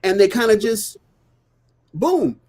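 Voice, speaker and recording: A man's voice: high voice, very animated, takes it slowly, background noise. A man co-hosting a casual live stream, laying out his views earnestly and repeating points to drive them home. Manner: earnest, explanatory, builds points by repetition; frequent "you know what I'm saying". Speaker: co-host of a live talk stream.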